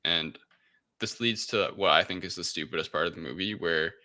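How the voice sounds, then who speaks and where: A man with a low-pitched voice and a very bright, forceful edge talks as a podcast co-host. He recaps loosely and irreverently, wandering through the details in casual chat. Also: normal speed. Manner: rambling, offhand, irreverent.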